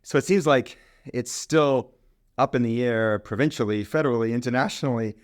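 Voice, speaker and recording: A man's voice: medium pitch, normal speed, no echo, studio quality. A middle-aged man hosting a podcast, chatty and rambling, often restarting his sentences. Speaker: podcast host. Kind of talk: casual interview conversation.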